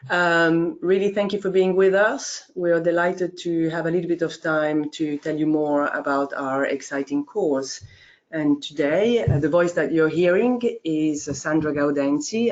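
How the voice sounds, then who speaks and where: Hesitant woman with leaping pitch, dull audio. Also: a little slow, darkish tone. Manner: hesitant, many fillers, sudden pitch leaps. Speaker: course leader. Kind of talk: informal course introduction.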